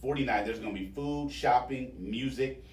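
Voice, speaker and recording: A man's voice: mid-range voice, moderate pace, a little mellow. American guy doing a loose radio interview, echoey and a bit far from the mic. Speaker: radio host. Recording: roomy, echoing, distant microphone.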